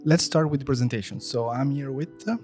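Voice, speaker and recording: A man's voice: mid-range voice, brisk, darkish tone. Guy hosting a casual podcast, asks questions, some uhs, faint music behind. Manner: informal, with fillers. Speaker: podcast host. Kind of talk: casual interview.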